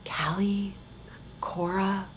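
An actress playing an anxious, exasperated woman trapped underground in an audio drama, blunt and halting. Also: mid-range voice, steady tone, a little hiss or hum. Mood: flustered. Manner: blunt, sweary, halting when flustered. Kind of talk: naturalistic audio drama acting.

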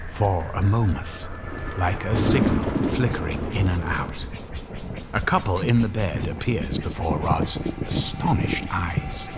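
An actor playing an ominous storyteller, narrating a horror drama in a suspenseful, deliberate manner. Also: male voice, deep voice, over loud music. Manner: suspenseful, deliberate, drops toward whispers.

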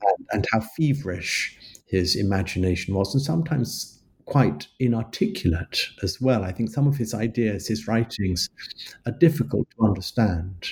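A man answering interview questions about his book in a measured, halting way, with frequent restarts. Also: lowish voice, lively intonation, a little mellow, husky. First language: English. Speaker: author guest.

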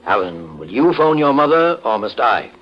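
An actor playing a calm, shrewd gentleman detective, questioning formally in the style of a 1940s radio mystery. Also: on the low side. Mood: firm. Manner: measured, probing, formal questioning. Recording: muffled vintage 1940s radio recording.

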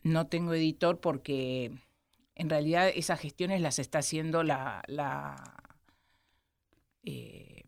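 An Argentine woman historian with an Argentine accent, a guest in an interview who explains at length with many pauses. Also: low-pitched, creaky, dry recording. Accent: Argentine Spanish. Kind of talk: studio interview.

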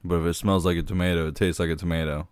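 A man's voice: low voice, little pitch movement, racing, clean tone, no room sound. A man co-hosting a podcast in a casual, laid-back way, often calling his co-host dude.

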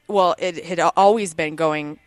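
A woman radio DJ with a St. Louis accent, telling stories in a quick, enthusiastic casual chat. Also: on the low side, no room sound, soft background music. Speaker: radio DJ, host.

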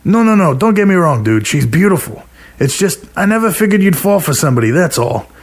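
A man's voice: high-pitched, sing-song, a little fast, pitched above the performer's own voice. A man voicing a cocky, teasing young drinking buddy. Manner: slangy, needling.

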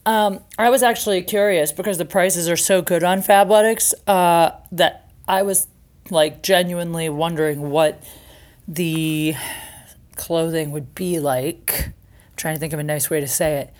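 A woman's voice: on the low side, steady tone, measured pace, a little mellow. A woman podcast host, likely in her thirties, talking in a candid, chatty, self-mocking way and peppering her speech with "like" and "um.". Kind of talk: casual storytelling monologue with ad reads.

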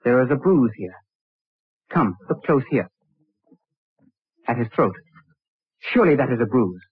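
An actor in an old radio drama playing an earnest, respectful young medical student, polite but insistent. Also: male voice, medium pitch, big pitch swings, a little slow, husky, dry recording. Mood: anxious, insistent. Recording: tinny, band-limited vintage radio recording.

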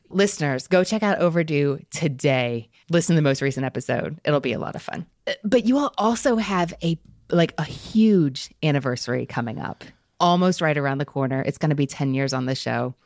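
Low-pitched woman podcast host with creaky voice. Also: animated, moderate pace, a little mellow, no room sound, clean studio sound. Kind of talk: warm book-podcast hosting, interview and sign-off.

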